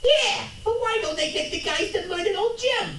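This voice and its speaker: A man's voice: very high voice, lively intonation, moderate pace, fairly bright tone, voice pushed up. A man playing a frightened, worried street beggar with folksy slang in a hammy live radio drama reading. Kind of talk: 1940s radio drama read at a live panel. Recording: roomy distant mic, noisy convention room.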